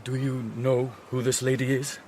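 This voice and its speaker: An actor playing an evasive Victorian bridegroom in a radio drama, theatrical and dismissive, with brittle forced lightness and a British accent. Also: male voice, mid-range voice, little pitch movement, moderate pace, a little mellow, slight background noise, natural voice. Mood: strained, formal.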